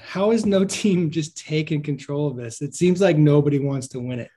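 A male podcast host with a fairly high, mellow voice. He sounds relaxed as he moves between topics and asks his guests open questions. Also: normal speed, slightly rough. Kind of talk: casual podcast hosting.

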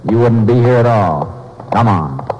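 A man's voice: fairly low pitch, animated, unhurried. An actor playing a stern, unruffled Texas lawman in a 1950s radio drama, terse and matter-of-fact, with an American accent. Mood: firm, calm. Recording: dull, noisy 1950s radio recording.

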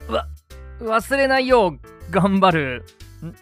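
Easygoing high-voiced dad played by a man, music behind. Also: very animated, slow pace, a bit bright, exaggerated voice. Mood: casual concern. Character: easygoing, slightly forgetful young father and husband. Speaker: actor in a family audio drama. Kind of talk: amateur domestic radio drama. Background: background music.

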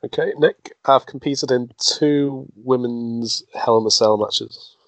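A man's voice: mid-range voice, slow, dry recording, low-quality recording. A man hosting a casual quiz game, reading clues aloud in first person and laughing often. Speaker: quiz host.

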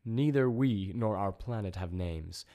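A man voicing a disembodied alien hive intelligence, speaking in a slow, cold, formal and imperious way for a story. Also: lowish voice, mellow.

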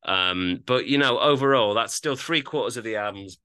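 Very bright, crisp-voiced man chatting. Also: mid-range voice, moderate pace, no echo. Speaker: music podcast co-host. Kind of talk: casual album review chat.

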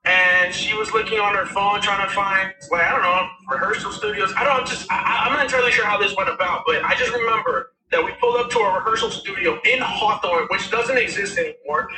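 A raspy-voiced man in his thirties, a musician guest telling his story in a rambling, casual way with many fillers. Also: sits high, fast, hardly any pauses, echoey room, recorded from a distance, rough recording. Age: 30s. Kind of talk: casual interview talk.

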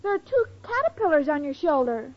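A woman's voice: high-pitched, big pitch swings, fairly quick. An actress playing a sweet, naive, scatterbrained young woman in an old radio sitcom, innocent and literal-minded. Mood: earnest. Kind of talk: 1940s-50s radio sitcom acting. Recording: dull, noisy old radio recording.

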